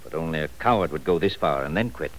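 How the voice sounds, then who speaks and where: Very deep manipulative professor, quick patronizing talk, 1940s radio acting, faint hiss. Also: male voice, lively intonation, rough recording. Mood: cool. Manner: smooth, patronizing, brisk. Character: scheming older professor, cool and manipulative.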